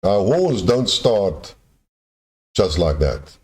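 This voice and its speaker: A man with a very low voice and an Afrikaans accent delivers an unscripted monologue. He speaks in halting runs, often stopping to restart a phrase while he hunts for the right word. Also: big pitch swings, a little mellow. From born in Germany, raised in South Africa. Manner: halting, searching for words with many restarts. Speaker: video essayist.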